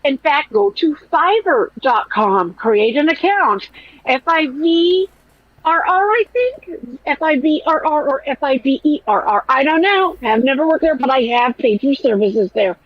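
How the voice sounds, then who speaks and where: High nasal-voiced woman podcaster. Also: unhurried, dry recording, muffled recording. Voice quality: nasal, whiny. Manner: blunt, profane, animated. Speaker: podcast host. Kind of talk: casual commentary chat.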